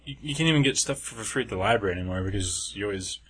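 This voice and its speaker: A man's voice: on the low side, expressive, a bit bright, husky. A young man co-hosting a podcast, chatting casually in an easygoing, joking way. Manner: easygoing, joking, quick to laugh. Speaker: podcast co-host. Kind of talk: casual chat.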